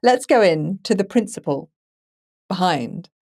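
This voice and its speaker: A woman's voice: fairly low pitch, animated, a little slow, darkish tone. A woman hosting a podcast, talking casually and with enthusiasm. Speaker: podcast host. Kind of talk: casual discussion with passages read aloud.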